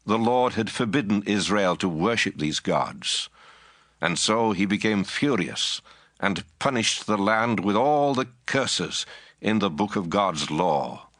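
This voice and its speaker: A man's voice: fairly low pitch, expressive, slow pace, fairly bright tone, dry recording, studio quality. An actor playing a grave man of Israel who solemnly explains divine punishment in a dramatized Bible reading. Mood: grave, somber.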